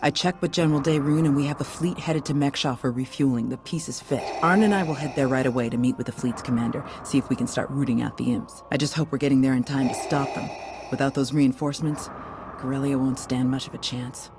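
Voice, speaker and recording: Guy playing republic ally in a game, casual but all business, medium pitch, noisy ambience behind. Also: a little fast, close to an everyday voice. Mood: businesslike. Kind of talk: video game voice acting.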